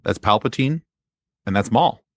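A man's voice: on the low side, animated, normal speed, no echo, clean studio sound. A man in a casual fan discussion as a podcast guest, rambling and circling back to repeat his phrases.

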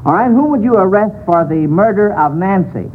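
A man's voice: high-pitched, expressive, brisk. An American man hosting a 1940s radio quiz, encouraging the contestants. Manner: chuckles often, encouraging to contestants. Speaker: quiz show host. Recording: tinny, faintly noisy old radio broadcast.

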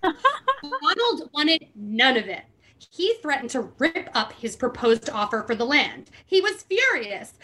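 A woman with a bright, forceful, fairly high voice reads a book aloud as a podcast host. She leans into theatrical emphasis and slips into casual, amused asides. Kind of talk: dramatic reading with casual asides.

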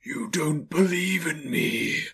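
A man voicing the solemn, unearthly ghost of a dead man, speaking in a slow, drawn-out, breathy way for a storybook. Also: high-pitched, fairly even intonation, a bit bright, pitched above the performer's own voice, full character voice. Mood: grave, probing. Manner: slow, drawn-out, deliberate delivery.

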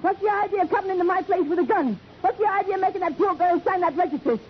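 An actress playing a cantankerous, frightened skid row hotel keeper with blunt, slangy speech in a radio crime drama. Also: female voice, very high voice, fast talker. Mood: scared, accusing. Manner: querulous, blunt, streetwise slang. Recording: muffled, noisy old radio recording.

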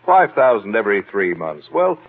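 A man playing a smug, scrawny little blackmailer in a 1940s radio drama, with falsely genial, avuncular politeness and needling calm. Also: fairly low pitch, moderate pace, gravelly, exaggerated voice. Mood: coolly calculating. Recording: tinny old radio broadcast.

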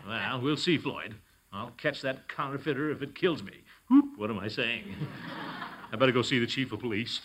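An actor playing a pompous, easily flustered middle-aged uncle in a 1940s radio comedy. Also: male voice, medium pitch, lively intonation, normal speed, close to an everyday voice. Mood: boastful. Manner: pompous, blustering, indignant. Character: blustering, easily flustered small-town uncle and commissioner. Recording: noisy old radio recording.

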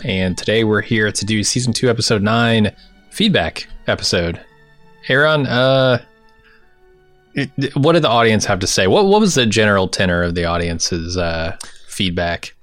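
Low-voiced American man, dry close sound. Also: normal speed, a bit bright, dry recording, soft background music. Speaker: podcast host. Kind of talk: casual podcast chat.

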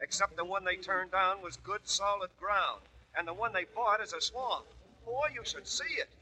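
A man's voice: high-pitched, normal speed, pushed and bright. A man playing a cocky, wisecracking American newspaper reporter in a classic radio drama, with brash, slangy delivery. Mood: breezy, sardonic. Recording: tinny, noisy old radio recording.

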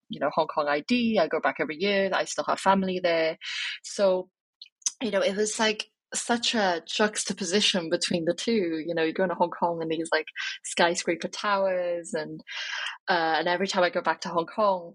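A woman's voice: deep voice, husky, no room sound, low-quality recording. A young woman from Wales, talking reflectively as an interview guest, with many fillers and restarts. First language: Cantonese.

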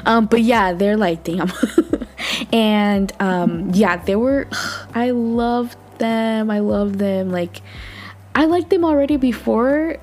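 A young woman from Southern California hosting a podcast in casual, bubbly chat, her voice a little raspy from just waking up. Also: on the high side, takes it slowly. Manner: chatty, bubbly, laughs often, quiet morning talk. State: slightly raspy morning voice. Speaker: podcast host.

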